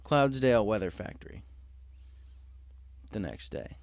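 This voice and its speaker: A man's voice: lowish voice, big pitch swings, unhurried, creaky, no echo, soft background music. A man reading scripted fiction aloud as a story narrator.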